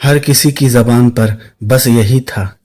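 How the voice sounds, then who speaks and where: A man's voice: mid-range voice, fairly even intonation, takes it slowly. A man narrating a scripted suspense story, with music playing behind his voice. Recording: clear music under the voice.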